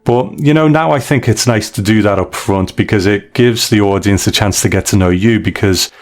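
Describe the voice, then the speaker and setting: Male podcast host, lower-pitched voice, relaxed and conversational. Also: moderate pace.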